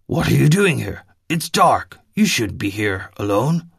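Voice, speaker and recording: A man voicing an adult man who has lost his memory, speaking calmly and reassuringly in a story reading. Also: mid-range voice, a little slow, creaky, husky, no room sound, studio quality, heavily acted. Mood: gentle.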